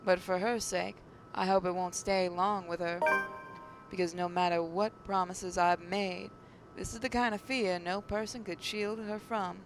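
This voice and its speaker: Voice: flat delivery, a little slow, over music. A person reading visual novel narration and commenting casually as a gaming host, now and then stumbling over words. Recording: clearly noisy mic with low rumble.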